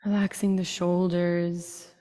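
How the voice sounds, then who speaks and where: A woman leading a guided meditation in a slow, soothing style, giving unhurried instructions in short phrases with long pauses. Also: lowish voice, flat delivery, warm tone. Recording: long reverberant tail.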